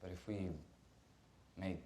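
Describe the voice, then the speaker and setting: Wealthy ex-hero magnate in a film, deep voice, measured speechmaking, slight background rumble. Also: male voice, flat delivery, warm tone. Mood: measured, persuasive. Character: polished wealthy male ex-hero tycoon addressing press. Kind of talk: film acting.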